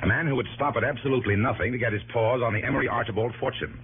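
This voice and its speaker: A man's voice: medium pitch, racing, breathy edge, narrow, tinny audio. An actor playing a harried, dry insurance company man in a 1960s radio drama. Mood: grave, explanatory. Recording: thin, noisy old radio broadcast.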